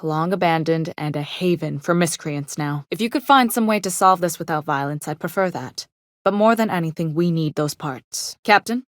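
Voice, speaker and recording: An actress playing a capable, no-nonsense chief engineer and station captain in a video game, with a measured, dry, businesslike manner. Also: female voice, on the low side, no echo, natural voice. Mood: grave, urgent, then brisk, businesslike. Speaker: game character voice. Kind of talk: video game character dialogue.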